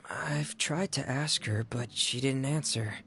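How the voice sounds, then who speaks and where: Slow, gravelly, reserved boy. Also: mid-range voice, fairly bright tone, faint music behind. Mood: pensive. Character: quiet, reserved, earnest teenage boy. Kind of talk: video game voice acting.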